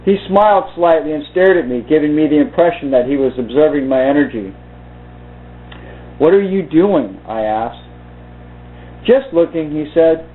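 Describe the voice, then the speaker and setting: A man reading aloud and commenting informally in a halting, deliberate way. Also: fairly high pitch, lively intonation. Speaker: video host reading from a book. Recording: muffled phone-like narrow-band sound.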